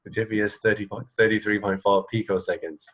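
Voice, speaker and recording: A man's voice: lowish voice, little pitch movement, fast talker. A man co-hosting a radio show, chatting casually about trivia in a hesitant, mildly wry way. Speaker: radio co-host. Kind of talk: casual chat, sharing trivia.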